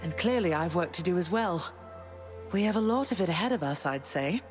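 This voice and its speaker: An actress playing a gracious goddess queen and mother in a video game, gentle, polite and measured, with music behind her. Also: on the low side, lively intonation, lightly acted. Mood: composed.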